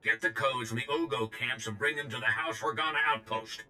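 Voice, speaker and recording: Bright-edged brash slangy droid. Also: male voice, fairly low pitch, completely flat delivery, fairly quick. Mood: brash, urgent. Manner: informal, slangy, blunt street talk. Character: brash reprogrammed protocol droid, folksy, slangy, male. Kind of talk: video game character dialogue.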